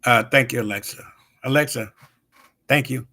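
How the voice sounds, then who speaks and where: A man in his sixties hosting his own show, talking casually with lots of pauses and fillers and greeting viewers by name. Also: mid-range voice, sharp tone. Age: 60s. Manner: rambling, halting, many fillers. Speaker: show host. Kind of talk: casual live-stream talk.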